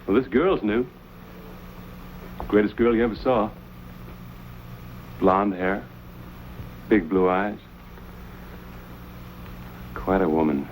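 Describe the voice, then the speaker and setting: An actor playing an easygoing, confident frontier knife fighter in an old-time western drama, folksy and wry. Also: male voice, on the low side, slow pace, long pauses. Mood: smitten, uneasy. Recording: muffled, hissy old radio-era recording.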